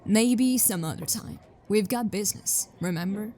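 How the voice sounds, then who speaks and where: A woman's voice: mid-range voice, moderate pace, a little mellow, lightly acted. A woman playing an impatient, businesslike detective with a dry, curt manner in a sci-fi audio drama.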